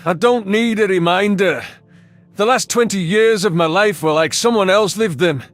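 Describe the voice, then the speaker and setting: A man acting an angry furry alien with a grudge. The voice is high for a male, bitter and sarcastic, over faint music in an audio drama. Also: normal speed, fairly bright tone. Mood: bitter anger. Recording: music under the voice.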